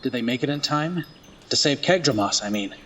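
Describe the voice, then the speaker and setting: An actor playing a curious, sentimental companion listening to tales in a fantasy audio drama. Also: male voice, medium pitch, animated, fairly quick, a bit bright, distant mic, a little hiss or hum, close to an everyday voice. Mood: eager, curious.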